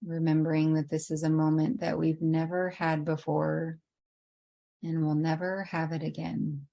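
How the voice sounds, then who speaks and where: A woman leading a guided meditation in an unhurried, gently inviting way with long pauses. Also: sits low, flat delivery, vocal fry, muffled recording.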